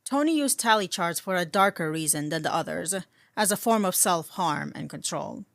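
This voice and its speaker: Woman narrating a fanfic, kinda low voice, dry recording with no room sound. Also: lively intonation, normal speed, creaky, dry recording. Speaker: fan fiction narrator. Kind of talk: scripted story narration.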